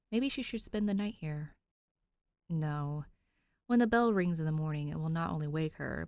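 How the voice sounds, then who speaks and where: A woman's voice: low-pitched, a little mellow, no echo. A woman podfic reader narrating fan fiction expressively, with an informal, conversational touch.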